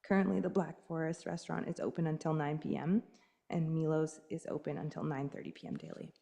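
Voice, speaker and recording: A woman with a hoarse voice from a cold, reading a staff report as corporate officer at a council meeting. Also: low-pitched, fairly even intonation, fairly quick, dark tone. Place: council chamber. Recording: long hall reverb.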